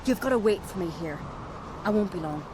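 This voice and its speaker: An actress playing a resolute, commanding woman in a video game, speaking in a firm, measured, enigmatic way. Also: medium pitch, animated, noisy background, lightly acted. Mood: firm, secretive. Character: resolute, commanding adult woman with a secret plan. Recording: background music under the voice.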